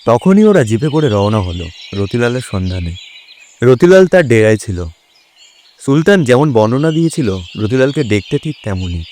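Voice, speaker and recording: A man's voice: medium pitch, sing-song, normal speed, darkish tone, slight background noise. A man narrating a scripted detective story.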